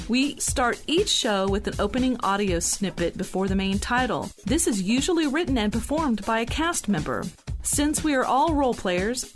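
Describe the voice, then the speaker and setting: A woman hosting a podcast, presenting topics and leading discussion. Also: fairly high pitch. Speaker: podcast host.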